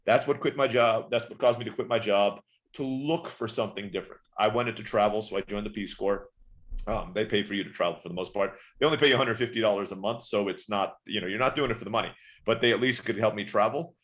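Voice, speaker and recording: A man in his forties, born in the Philippines and raised in Turkey, chatting quickly as a podcast guest telling his life story. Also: on the low side, fairly bright tone. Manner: rapid, chatty, often saying "right?". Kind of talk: casual interview storytelling.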